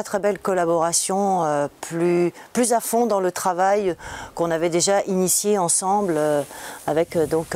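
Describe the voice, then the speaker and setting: A woman's voice: mid-range voice, darkish tone. A woman speaking as a local official in a testimonial interview, explaining things in an informal way.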